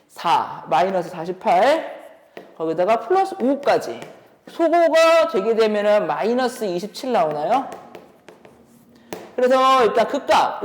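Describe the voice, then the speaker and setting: A woman teaching as a math instructor, explaining exam solutions step by step and often posing questions as she goes. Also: medium pitch. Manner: instructive, poses questions while working through steps. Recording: echoey room with long reverb.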